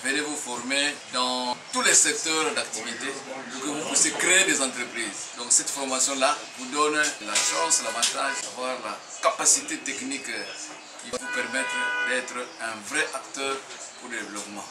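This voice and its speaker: Sixties Congolese director, measured formal pitch, distant roomy sound, loud music bed. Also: male voice. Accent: Congolese French. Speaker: provincial director. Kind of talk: promotional appeal. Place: small room with bare wall.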